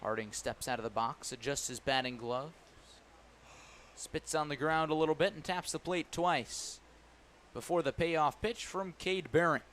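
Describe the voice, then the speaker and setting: A man calling a baseball game live on the radio as the play-by-play announcer, animated on big plays and playful with his phrasing. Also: fairly high pitch, measured pace, slight background noise. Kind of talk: live radio sports commentary. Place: live at a ballpark broadcast position.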